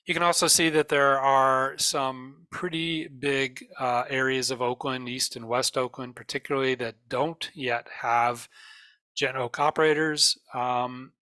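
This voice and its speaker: Male presenter, bright forward voice, mid pitch, dry close sound; explanatory slide talk with frequent pauses. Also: fairly even intonation, clear, resonant tone, no echo. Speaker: presenter for an emergency radio network.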